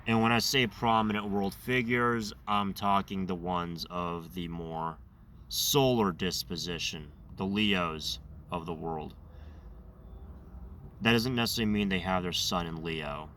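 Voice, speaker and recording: A man hosting his own channel, talking in a loose, rambling monologue with a raspy, slightly nasal voice, congested and over-caffeinated. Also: low-pitched, a little slow, a bit bright, far from the mic, slight background noise. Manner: rambling, self-correcting. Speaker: channel host. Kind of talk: casual monologue.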